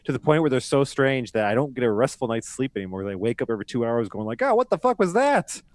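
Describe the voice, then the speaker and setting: A man's voice: mid-range voice, very animated, fast, dry recording. A man with an ordinary mid-range voice teases his co-hosts and laughs often in loose podcast chat. The sound is close and dry, with no room around it. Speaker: podcast co-host. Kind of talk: casual comedic chat.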